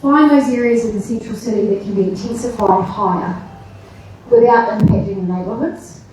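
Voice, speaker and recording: A woman answering as a candidate at a debate, halting and restarting as she works through her points. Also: on the high side, background noise. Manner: halting, with many fillers and restarts. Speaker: council candidate. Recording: echoey hall, distant mic.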